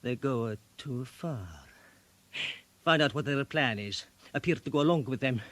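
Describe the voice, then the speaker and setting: An actor playing a coldly menacing crime family chief, terse and ominous, in a radio drama. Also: male voice, mid-range voice, measured pace, fairly bright tone, dry recording, lightly acted. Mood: cold menace.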